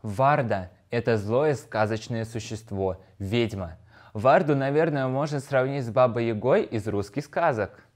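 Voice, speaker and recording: A man's voice: medium pitch, a little slow. A man presenting a scripted educational lesson in a didactic way, addressing his pupils.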